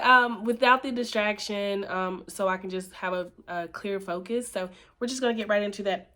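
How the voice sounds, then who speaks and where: A young woman, sick and a bit nasal, chatting casually and playfully to her viewers in a vlog. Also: fairly high pitch. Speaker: vlogger.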